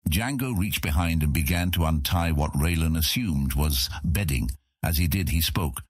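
A man reads scripted fantasy narration in a deep, gravel-edged voice. He tells the story in a measured way. The recording is dry, with no room sound around him. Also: animated, no room sound. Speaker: audiobook narrator.

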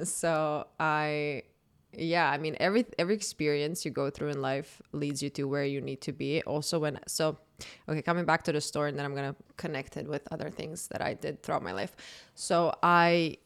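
Low, smooth-voiced woman. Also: normal speed. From Croatia. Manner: animated, laughs often, long storytelling turns. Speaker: podcast host and dating content creator. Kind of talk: casual podcast conversation.

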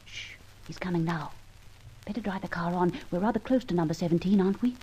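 An actress playing the kindly, urgent accomplice of a gentleman adventurer in an old radio drama, earnest, insistent and polite. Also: lowish voice, fast talker, breathy edge. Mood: urgent, hushed. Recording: dull, lo-fi old radio recording.

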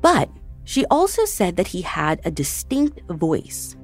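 Lively low-voiced woman narrating true crime. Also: takes it slowly, soft background music. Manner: conversational, addresses listeners directly. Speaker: podcast host.

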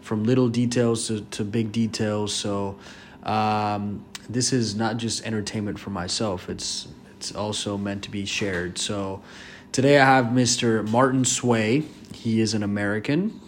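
Guy hosting a podcast, lowish voice, asks lots of questions, laughs easy, bit of faint noise. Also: fairly even intonation. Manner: curious, asks many questions, quick to laugh. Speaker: podcast host. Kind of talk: casual interview.

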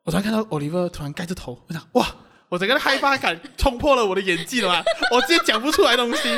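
A man's voice: high-pitched, sing-song, fast talker, heavy background noise. A man, a guest casually chatting about his amateur voice acting. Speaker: podcast guest, amateur voice actor. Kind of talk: casual behind-the-scenes chat.

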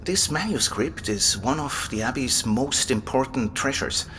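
A man's voice: mid-range voice, expressive, unhurried, fairly bright tone, a little hiss or hum. A man acting in an audio drama as an erudite, enthusiastic abbey librarian, explaining things like an eager scholar. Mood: keen explaining. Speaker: library guide and manuscript expert.

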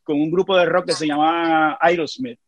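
A man with a Puerto Rican accent, a podcast guest telling animated stories in a casual conversation. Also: on the high side, moderate pace. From Puerto Rico. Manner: animated storyteller, frequent restarts.

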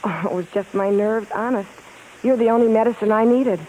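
Actress as spirited, high-voiced American wife. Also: female voice, a little fast, warm tone, natural voice. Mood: happy. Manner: coaxing, spirited. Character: devoted, encouraging, determined female stage-singer wife. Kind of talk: 1950s American radio drama acting. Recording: hissy, crackly old radio broadcast.

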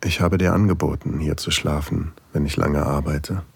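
A very deep, husky male voice with a gravelly creak. an older single father in an audio drama, tender and full of longing. He confides softly and intimately. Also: fairly even intonation, moderate pace, full character voice.

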